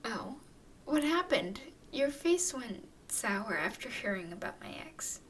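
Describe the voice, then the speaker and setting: Girl doing a haughty teasing vampire lady, asmr roleplay, kinda high voice, takes her time, breathy exasperated asides. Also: big pitch swings, a little slow, a bit bright, a little hiss or hum. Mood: reluctant, confiding. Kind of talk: ASMR roleplay, acted monologue to listener.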